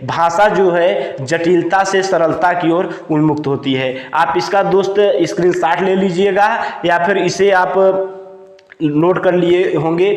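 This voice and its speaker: High-pitched male teacher in an echoey room. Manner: repetitive, asks questions and answers them himself. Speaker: teacher of a Hindi exam-prep channel. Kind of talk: lesson explained point by point for note-taking.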